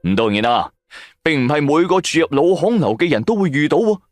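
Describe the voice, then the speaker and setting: A man's voice: a little high, sing-song, a little fast. A man with a Cantonese accent narrating a supernatural audio drama in the first person, colloquial and dramatic. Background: background music.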